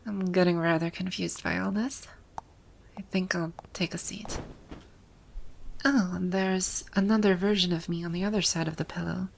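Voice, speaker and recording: A woman's voice: on the low side, fairly even intonation, normal speed, creaky, a little hiss or hum, low-quality recording. A woman playing a haughty, easily flustered female final-boss villain from a video game in an ASMR roleplay, with an imperious, indignant manner. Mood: confused. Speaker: voice actor.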